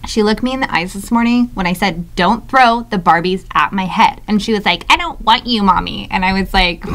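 A woman's voice: mid-range voice, a little fast, a bit bright, lightly acted. A woman playing an exasperated, sarcastic mother drinking by day, in a sketch comedy monologue. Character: bitter day-drinking mother venting under the table.

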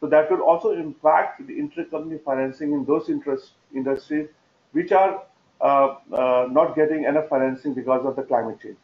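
A man giving an explanatory business presentation in a halting way, often repeating words. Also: on the high side. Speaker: webinar presenter on finance. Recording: dull, roomy webinar audio.

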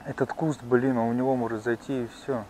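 A man's voice: medium pitch, little pitch movement, fairly quick, darkish tone. Man chatting outdoors over noisy hum. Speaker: angler filming a fishing outing. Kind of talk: casual chat while fishing. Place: outdoors on a pond bank. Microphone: handheld camera.

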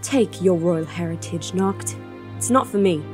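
A woman's voice: on the low side, unhurried, natural voice. Woman playing a grieving, fiery young princess. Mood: angry, hurt. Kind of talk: audio drama acting. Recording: music under the voice.

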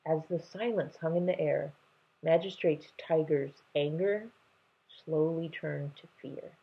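A young adult woman reads a children's novel aloud expressively, with a husky voice, indoors at home. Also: sits low, slow pace, muffled recording. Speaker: read-aloud storyteller.

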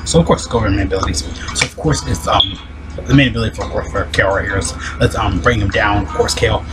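A deep-voiced man talks fast and loosely through game news, piling up fillers and restarts. His low voice sits over clear background music. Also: rough recording. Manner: rapid, rambling talk with many fillers and restarts. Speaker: gaming video host. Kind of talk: casual game news commentary.

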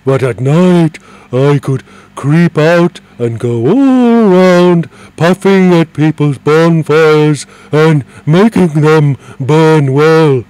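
A man reading aloud and voicing a gentle, lonely male dragon who speaks in a plaintive, wheedling way. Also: a little high, slow pace, dry recording, pitched above the performer's own voice, poor audio. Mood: excited.

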